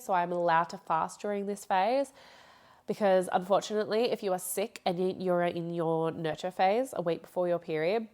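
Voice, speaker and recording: A young woman talks casually through her solo podcast, her voice nasal from a blocked nose. She rambles and corrects herself often and laughs between thoughts. Her pitch sits in a middle register. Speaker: podcast host.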